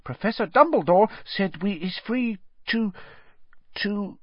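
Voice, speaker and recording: A woman voicing a small, earnest and nervous male house-elf from a storybook, squeaky and servile, speaking in broken grammar. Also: lively intonation, slow pace, dry recording, dull audio. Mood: earnest, solemn. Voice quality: high, squeaky. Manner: servile, broken grammar, refers to himself by name. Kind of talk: audiobook character voice.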